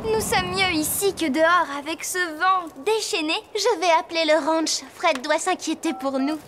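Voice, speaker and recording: A woman playing an eager, caring teenage girl horse rider in a French animated series. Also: extremely high pitch, moderate pace, background noise. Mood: concerned. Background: music.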